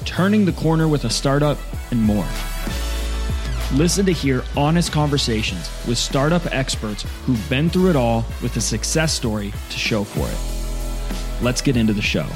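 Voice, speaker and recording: A man announcing a podcast's opening in a measured, scripted delivery at a mid pitch. Guitar music plays clearly behind his voice. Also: big pitch swings. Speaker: show announcer. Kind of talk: scripted show intro.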